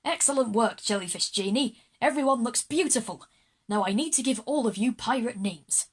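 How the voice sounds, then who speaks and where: A woman's voice: a little high, moderate pace, husky. A woman playing an egotistical, bossy male pirate general in a kids' audio drama, with a pompous, theatrical manner. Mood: commanding.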